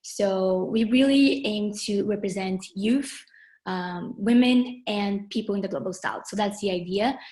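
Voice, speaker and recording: A woman's voice: medium pitch, a little mellow. Brazilian-born woman hosting a webinar. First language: Portuguese. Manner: friendly, many ums and uhs, slows on key phrases. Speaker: webinar moderator and founder. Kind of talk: online webinar introduction. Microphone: video call.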